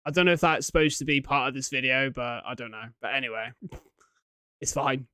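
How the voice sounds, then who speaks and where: A man hosting a reaction video, commenting casually and thinking aloud in a halting way. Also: medium pitch, fairly even intonation, bright, forceful tone, clear, resonant tone, no room sound.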